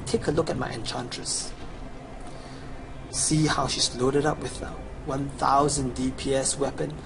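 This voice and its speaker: A man's voice: on the high side, noisy background. A young man with a Chinese accent, boasting through a promotional gameplay voice-over as he lists his gear and stats. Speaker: gamer promoting a gold guide.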